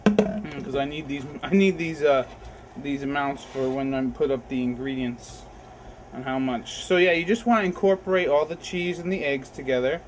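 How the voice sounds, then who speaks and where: A man's voice: fairly high pitch, normal speed, noisy background. A young man hosting a casual cooking vlog in his home kitchen, chatty and loose, recorded on a camera mic.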